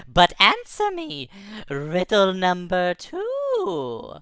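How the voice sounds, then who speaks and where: A woman voicing a tiny, snarky male ball of light who asks riddles in a squeaky, excitable voice. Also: very slow, clear, resonant tone, no room sound, studio quality, heavily acted. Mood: excited, snarky.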